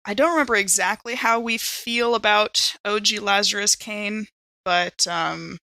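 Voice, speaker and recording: A woman plays a tabletop role-playing game, talking casually and working out her moves aloud. Her voice is bright and forward, recorded dry with almost no room sound. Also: mid-range voice, measured pace, no echo. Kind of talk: casual improvised role-play chat.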